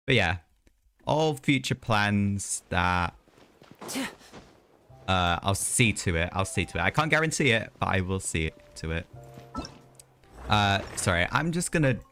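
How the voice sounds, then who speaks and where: A man's voice: lowish voice, fairly bright tone. A man live streaming a video game, chatting casually in a rambling way with hesitant asides.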